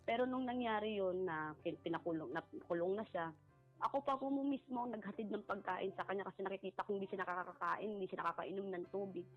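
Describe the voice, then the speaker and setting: A middle-aged woman, giving a personal account in a polite, halting way and often repeating herself. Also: medium pitch. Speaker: caller seeking advice. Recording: muffled lo-fi voice over background music.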